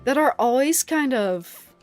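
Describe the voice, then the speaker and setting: Young dutch woman streaming, chatty and giggly, highish voice that bounces around, game music low underneath. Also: expressive, measured pace. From Netherlands. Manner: rambling, giggly, many fillers. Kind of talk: casual chat while gaming.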